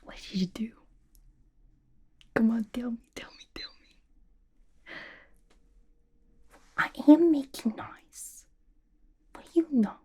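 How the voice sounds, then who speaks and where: Casting: woman acting as a mischievous young villainess inmate for ASMR roleplay, breathy whisper, soft mellow tone, gossipy and manic. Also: a little high, animated, a little slow, exaggerated voice. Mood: manic, defensive. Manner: playful, slangy, conspiratorial gossiping. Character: manic, chatty, mischievous young female villain locked in an asylum.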